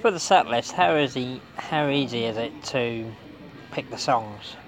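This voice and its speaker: A man's voice: medium pitch, animated, a little slow, crowd noise, poor audio. A man conducting a casual music interview, asking short questions as the interviewer.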